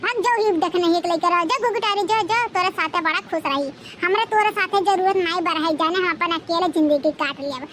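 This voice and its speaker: A woman's voice: extremely high pitch, fairly quick, hardly any pauses, a bit bright, slight background noise. A woman voicing a hot-tempered village wife with an Awadhi accent in a comedy cartoon, ranting and threatening her husband. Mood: furious, dismissive.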